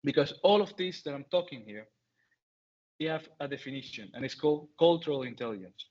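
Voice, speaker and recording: A man's voice: a little high, animated, fairly quick, darkish tone, dull audio. Venezuelan man with a Spanish accent. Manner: hesitant, many fillers and restarts. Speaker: guest speaker. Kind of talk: online talk.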